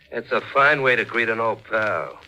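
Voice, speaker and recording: An American actor playing a shifty, insinuating gangster crony with slangy talk in a 1940s radio crime drama. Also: male voice, medium pitch, noisy background. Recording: 1940s radio broadcast recording.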